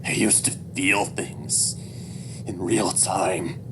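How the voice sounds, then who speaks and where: A man voicing a broken, resigned father, a scruffy furry animal, with heavy ragged breath and halting, bleak delivery. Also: deep voice, fairly even intonation, background noise. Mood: numb resignation.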